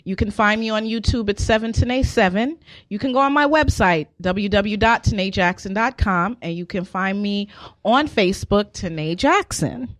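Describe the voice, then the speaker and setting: A woman's voice: medium pitch, expressive, normal speed. A woman speaking as a singer guest in a casual interview, telling anecdotes and repeating phrases for emphasis.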